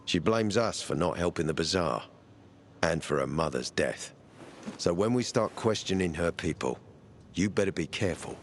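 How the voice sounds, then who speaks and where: A stern militia officer in a video game, speaking with a very deep, low voice. He delivers cold, quietly menacing warnings to an ally. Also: expressive, moderate pace, slight background noise. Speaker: Peacekeeper officer.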